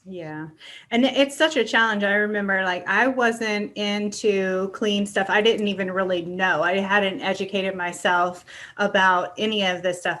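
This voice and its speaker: A middle-aged woman hosts a casual interview podcast. Her voice sits at an ordinary pitch for a woman, and she talks in a chatty, informal, conversational way. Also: fairly bright tone. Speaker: podcast host.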